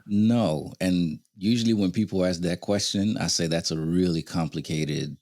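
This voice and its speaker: A man's voice: low voice, normal speed, darkish tone, no room sound, clean studio sound. A man in his thirties, born in Venezuela to a Haitian family, telling his life story as a podcast guest with easy laughs. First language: Haitian Creole or Spanish. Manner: thoughtful, laughs easily, storytelling. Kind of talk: casual interview conversation.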